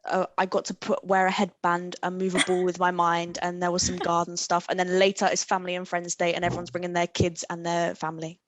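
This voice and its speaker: A woman's voice: lowish voice, completely flat delivery, a little fast, few pauses, no echo. A young woman, a student guest, giving casual answers on a panel. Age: young adult.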